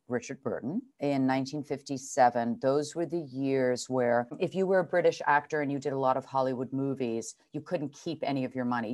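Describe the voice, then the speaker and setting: Actress guest, 60s woman, low pitch, chatty theatrical storyteller. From Switzerland. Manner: chatty, theatrical, warm endearments. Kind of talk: relaxed storytelling in conversation.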